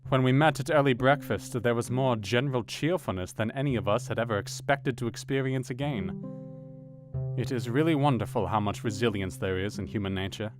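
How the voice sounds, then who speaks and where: A man playing a thoughtful Victorian doctor who narrates his diary in a measured way over music. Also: mid-range voice, close to an everyday voice. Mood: reflective. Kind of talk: audio drama reading.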